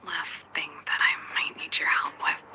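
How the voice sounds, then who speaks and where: An actress voicing a reflective, candid adult sister in a video game, with a breathy, husky voice and a measured, gently wry, confiding way of talking. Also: female voice, in a whisper, close to an everyday voice. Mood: reflective, resolved. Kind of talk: English video game voice acting.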